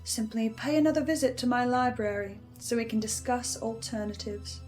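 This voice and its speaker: Woman performing a measured, ominously polite letter reader. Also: fairly high pitch, fairly even intonation, darkish tone, faint music behind, close to an everyday voice. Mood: quietly menacing. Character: formal, menacing, composed woman reading a manipulative supernatural letter. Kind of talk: horror audio drama statement reading.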